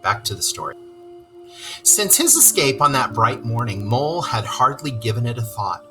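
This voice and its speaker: A storyteller reading aloud to children in expressive narration, with explanatory asides. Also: mid-range voice, moderate pace, over music.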